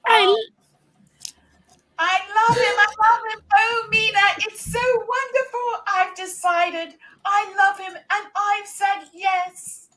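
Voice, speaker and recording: A woman playing an excitable young society bride-to-be in a comedic radio play, gushing with drawn-out words. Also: very high voice, far from the mic, pitched above the performer's own voice, heavily acted, low-quality recording. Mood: giddy.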